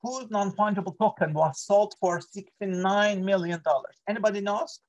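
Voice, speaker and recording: A middle-aged man with a Turkish accent leads an online workshop over a video call. He talks in an earnest, lecturing way. He often stops to fill pauses and correct himself. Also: sits high. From Turkey. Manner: earnest, many fillers and self-corrections. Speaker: workshop presenter, associate professor.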